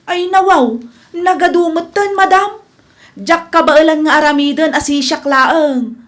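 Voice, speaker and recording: A woman's voice: high voice, measured pace, fairly bright tone. A woman playing a meek but candid young employee in a radio comedy drama, deferential and hesitant. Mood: meek, protesting.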